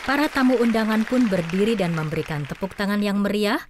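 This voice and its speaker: A woman's voice: medium pitch, a little fast, a crowd in the background, noisy background. A woman reading scripted storytelling narration for an audio drama. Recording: loud music behind the voice. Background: music.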